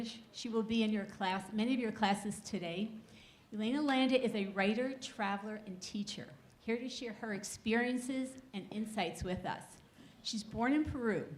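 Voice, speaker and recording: Woman introducing a speaker, faint noise behind. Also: medium pitch. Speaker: host introducing a guest speaker. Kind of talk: prepared introduction speech. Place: school assembly.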